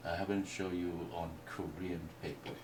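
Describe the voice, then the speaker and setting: A man whose first language is Mandarin, teaching a painting workshop in a halting way with many restarts. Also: low voice, flat delivery. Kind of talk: hesitant workshop explanation. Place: indoor workshop room. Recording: distant mic with faint noise.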